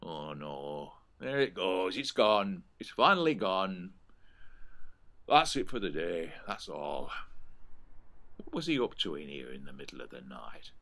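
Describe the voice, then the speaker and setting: A man's voice: extremely low pitch, creaky, pushed and bright, a little hiss or hum, exaggerated voice. An actor playing a grumbling, colloquial working-class father in an audio play, chiding but caring. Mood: muttering disbelief.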